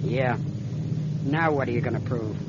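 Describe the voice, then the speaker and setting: A man's voice: medium pitch, moderate pace, close to an everyday voice. Seasoned airline captain played by a man, engine noise. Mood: subdued, resolute. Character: seasoned male airline captain haunted by distress call. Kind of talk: radio drama acting. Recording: muffled old radio, loud engine noise.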